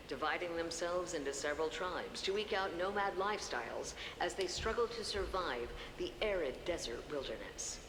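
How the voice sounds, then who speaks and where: Woman as documentary-style game narrator, low pitch, formal, composed, long reverb, background noise. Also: close to an everyday voice. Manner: measured, formal, informative. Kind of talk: scripted game lore narration. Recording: hall reverb with noisy ambience.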